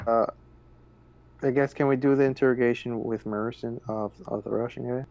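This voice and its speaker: Medium-pitched man, halting game player. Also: steady tone, vocal fry, a little mellow. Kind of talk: casual in-character and descriptive roleplay.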